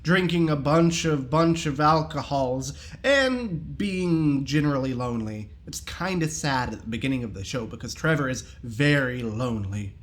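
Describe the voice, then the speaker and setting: A man giving a casual, enthusiastic review in an animated, jokey, slangy way. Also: mid-range voice, moderate pace, hardly any pauses.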